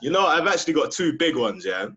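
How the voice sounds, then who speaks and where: A man's voice: on the high side, very animated, fast talker, bright, forceful tone, breathy edge. A man telling a laid-back story on a video call, dropping in questioning tags as he goes. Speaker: host's brother, guest on a video call. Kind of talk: casual storytelling. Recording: dull lo-fi video call audio.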